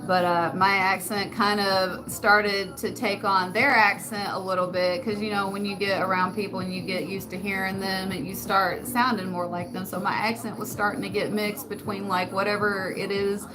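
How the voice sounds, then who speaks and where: A soft-spoken woman with a rural Western American accent, chatting casually with her viewers and laughing often. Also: medium pitch, flat delivery, normal speed, hardly any pauses, noisy background. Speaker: channel host. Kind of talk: casual live chat answering comments. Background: background music.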